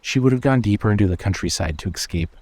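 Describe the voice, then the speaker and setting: A man's voice: lowish voice, expressive, fast talker, darkish tone, no room sound. A man reading scripted suspense fiction as a narrator.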